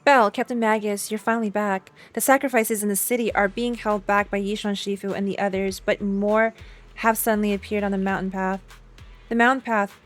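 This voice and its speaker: A woman's voice: mid-range voice, fairly even intonation, brisk. A woman streamer reacting to a game, reading dialogue aloud and tossing in flippant asides, faint music behind her.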